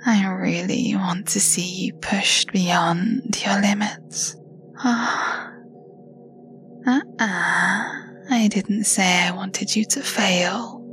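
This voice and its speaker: A woman delivering a scripted intimate roleplay monologue, mostly in a slow teasing whisper with breathy sighs, close to the microphone. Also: mid-range voice, fairly even intonation, over music. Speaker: erotic audio performer.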